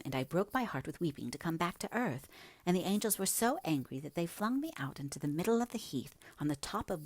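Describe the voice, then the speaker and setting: A woman voicing a passionate, headstrong young gentlewoman in a dramatic, emphatic audiobook reading, breathy at times. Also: deep voice, no echo. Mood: wistful, confiding.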